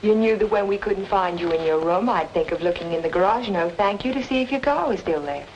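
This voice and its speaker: An actress playing a determined, sharp-witted woman in a noir radio mystery, with crisp, insistent delivery. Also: mid-range voice, animated, brisk, lo-fi sound, a little hiss or hum, lightly acted. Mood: insistent, knowing. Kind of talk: old-time radio noir acting and narration. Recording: old radio drama with music behind.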